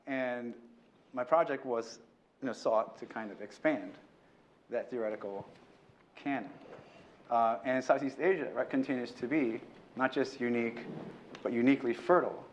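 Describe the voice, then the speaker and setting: A man speaking on an academic panel, thoughtful and hesitant, often pausing with fillers and tag questions. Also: medium pitch, a little hiss or hum. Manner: hesitant, many fillers and "right?" tags. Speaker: panelist, former fellow.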